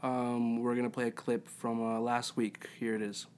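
A man's voice: medium pitch, completely flat delivery, normal speed, a little mellow. An eighteen-year-old man co-hosting a casual podcast, joking, rambling and swearing freely. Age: teens. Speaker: podcast co-host. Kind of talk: casual chat.

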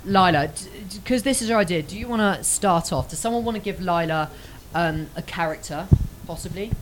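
A woman's voice: on the low side, brisk, slight background noise, low-quality recording. A British woman hosting a casual show with young people. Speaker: host of a youth show.

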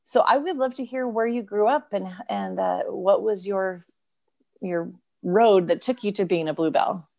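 A woman's voice: medium pitch, unhurried, creaky, darkish tone. A woman hosting a podcast, bubbly and enthusiastic, rambling a little and apologizing as she asks her questions. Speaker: podcast host, former dancer. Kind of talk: casual remote interview.

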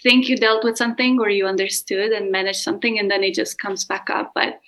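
A woman's voice: medium pitch, little pitch movement, brisk, fairly bright tone. A woman from Romania with a Romanian accent, a relationship coach speaking openly and earnestly about her own experiences. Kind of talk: candid personal interview answers.

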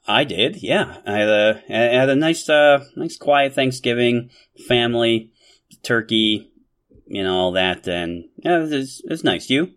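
A man's voice: medium pitch, expressive, measured pace, fairly bright tone. A man co-hosting a podcast, chatting casually and often repeating words as he talks. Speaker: podcast co-host. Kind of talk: casual chat.

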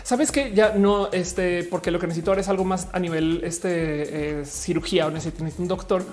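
Mellow, low-pitched Mexican woman chatting. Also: steady tone, brisk, soft background music. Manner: colloquial, slangy. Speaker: livestream host answering viewer questions. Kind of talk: casual chat, reading out comments and replying.

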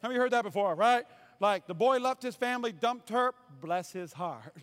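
A man's voice: high voice, expressive, normal speed, a bit bright, clear, resonant tone. A pastor from North Dakota preaching a humorous sermon, playfully quizzing his congregation with call-and-response. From North Dakota, USA.

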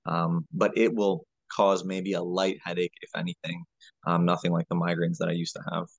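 Very deep-voiced man, close and dry. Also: completely flat delivery, moderate pace, gravelly, no echo, dull audio. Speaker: podcast guest, health coach. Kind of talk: conversational interview answer.

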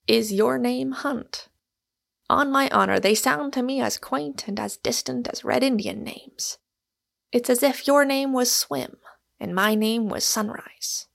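An exuberant storybook Irishman, acted out by a woman, argues and woos in emphatic, declamatory speeches. The voice is pitched fairly high for the part, with some creak in it. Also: measured pace. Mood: earnest, insistent. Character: ardent, emphatic Irishman, exuberant and argumentative suitor.

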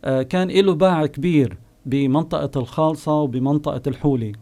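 A man with a Palestinian Bedouin accent, a guest recalling his home village, pausing often with long filler sounds. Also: a little high, warm tone. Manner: unhurried storyteller, pauses often with drawn-out "ah" fillers. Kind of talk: reminiscing oral history on a call-in show.

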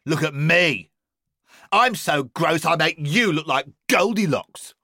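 A show-off young boy from a storybook, boasting and jeering in a high, lively voice, as a male storyteller acts him out. The sound is bright and dry. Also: slow pace, no room sound, exaggerated voice. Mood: boastful. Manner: boastful, mocking. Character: competitive gross young boy, Dick.

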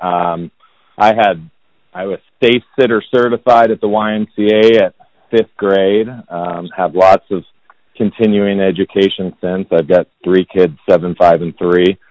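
A man in his thirties from Indianapolis, a real estate agent talking about himself as a podcast guest in a monotone, self-deprecating way. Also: low-pitched, unhurried, no echo. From Indianapolis, United States. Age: 30s. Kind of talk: casual podcast interview. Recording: dull, lo-fi sound.

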